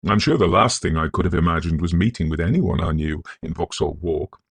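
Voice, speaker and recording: Storybook role: disowned young gentleman played by a man, well-spoken, bitter and weary, with a creaky edge. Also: sits low, a little fast, no room sound, heavily acted. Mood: eager, grateful.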